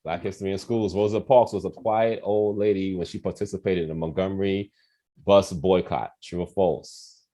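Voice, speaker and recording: A man in his forties hosting a casual quiz and chat, telling stories in an animated, slangy way with some profanity. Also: sits low, moderate pace. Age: 40s. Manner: animated, profane, acts out quoted voices. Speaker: quiz host on a talk show.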